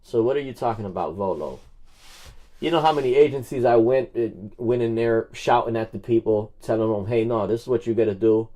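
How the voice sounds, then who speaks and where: A man's voice: medium pitch, fairly quick, dark tone, rough recording. A man hosting a casual livestream and talking on the phone, speaking bluntly and colloquially and often repeating his phrases. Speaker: livestream host making records-request phone calls.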